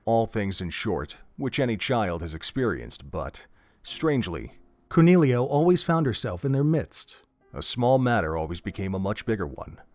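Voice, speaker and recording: A man narrating an audio drama in an expressive storybook manner. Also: fairly low pitch, normal speed, no room sound. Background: faint music.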